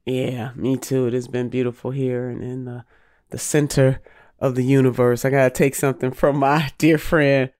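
A woman's voice: a bass voice, level tone, moderate pace, a little mellow, no echo, clean studio sound. A woman hosting a podcast interview, sounding nasal as she gets over a cold, talking emphatically with preacherly catchphrases. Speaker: podcast host.

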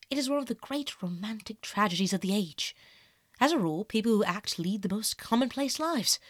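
Woman as vain, animated young gentleman. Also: on the high side, no room sound, clean studio sound, pitched above the performer's own voice, exaggerated voice. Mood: cool, rhapsodic. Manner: theatrical, airy, emphatic. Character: vain, callous young aristocratic man, dramatic and self-absorbed. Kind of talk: audiobook character acting.

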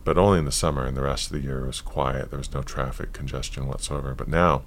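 A man in his fifties from California, a podcast guest reflecting on his past in a relaxed, storytelling way. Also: rumbling low, fast. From California, USA. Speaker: podcast guest, yoga teacher. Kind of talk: casual interview conversation.

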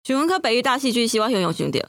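A woman with a Taiwanese accent chats casually as a podcast co-host. Her voice sits a little high and moves briskly, recorded dry and without room sound. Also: big pitch swings, fairly bright tone, dry recording. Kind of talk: casual chat.

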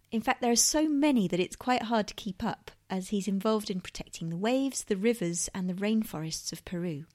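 A woman's voice: medium pitch, a little mellow. A woman hosting a podcast, first reading a prepared introduction, then interviewing a guest with short encouraging replies. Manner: reads the intro steadily, then chats with encouraging fillers. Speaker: podcast host.

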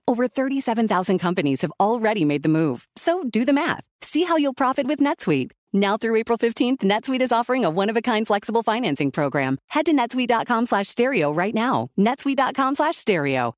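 A woman's voice: medium pitch, no room sound, studio quality. A woman reading a scripted ad with punchy, emphatic delivery. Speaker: ad narrator. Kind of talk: scripted ad read.

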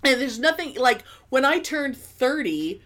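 Animated, profane woman in her fifties. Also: high voice, a little slow. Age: 50s. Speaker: podcast co-host. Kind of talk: casual chat.

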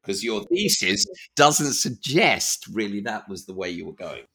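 A middle-aged man hosting a conversational interview podcast, engaged and expressive in how he puts his questions. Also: lowish voice, a little slow, breathy edge.

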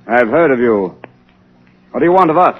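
A blustering middle-aged museum official from a vintage radio play, acted by a man. He is brusque and emphatic, with a husky, mid-range voice on a narrow, muffled 1930s recording. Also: mid-range voice, animated, normal speed, close to an everyday voice. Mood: suspicious. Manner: emphatic, dismissive. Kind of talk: 1930s radio drama acting.

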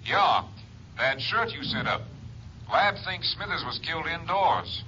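A brisk, businesslike captain who reports the facts plainly. His voice is bright and sharp, and the sound is muffled like an old radio broadcast with faint hiss. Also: fairly high pitch, sing-song, full character voice. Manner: matter-of-fact, reporting. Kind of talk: 1950s radio drama acting.

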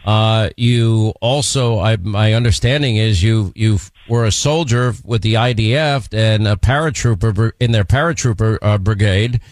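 A man's voice: lowish voice, normal speed, hardly any pauses, no room sound. Dry-voiced man hosting talk radio. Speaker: radio talk show host.

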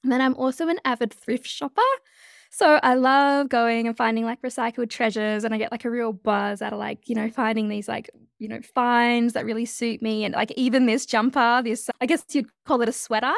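A woman with an Australian accent, an energetic and bubbly podcast guest who often laughs while chatting casually about her work and life. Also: on the high side, a little fast, vocal fry, dry recording, studio quality. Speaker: guest, change leadership expert. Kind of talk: casual interview chat.

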